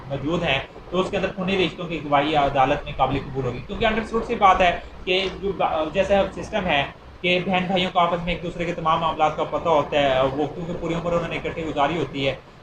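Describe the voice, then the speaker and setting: A man with a Pakistani accent lecturing on law in a didactic, step-by-step way, repeating himself as he explains through worked examples. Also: a little high. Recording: echoey room with clear background noise.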